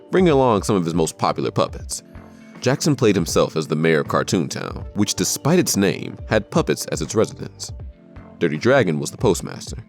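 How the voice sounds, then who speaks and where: A man reading scripted narration for a countdown video. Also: low voice.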